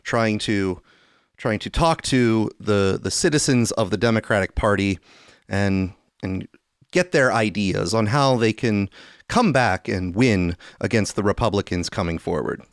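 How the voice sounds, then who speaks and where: Low-voiced man, dry mock-earnest podcast host. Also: a little slow, no echo. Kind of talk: casual political commentary.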